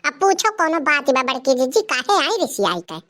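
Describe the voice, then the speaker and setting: A woman voicing an excitable Awadhi-speaking village woman in a cartoon comedy, emphatic and repetitive as she pleads to be believed. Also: high-pitched, normal speed. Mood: vindicated. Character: excitable village woman bringing gossip to her elder sister.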